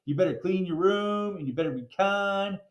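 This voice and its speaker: A man's voice: high-pitched, normal speed, lightly acted. A man voicing a flashy, boastful rapper showman from a children's storybook, upbeat and playfully preachy, rhyming in slang. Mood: playful rapping. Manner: rhyming, rapping delivery with slang. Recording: roomy, echoey sound.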